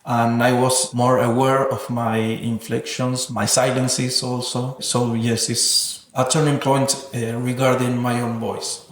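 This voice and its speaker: A man's voice: medium pitch, flat delivery, slow pace, few pauses. A man speaking reflectively and earnestly as an interviewed artist, with hesitant, non-native phrasing. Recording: roomy, echoing sound.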